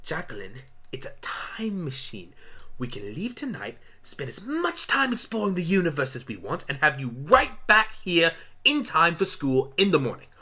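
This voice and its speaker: A woman's voice: low-pitched, very animated, moderate pace, sharp tone, breathy edge, voice pushed up, heavily acted. A woman voicing an eccentric, kindly male alien pony doctor, musing theatrically in a fan radio play. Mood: enticing.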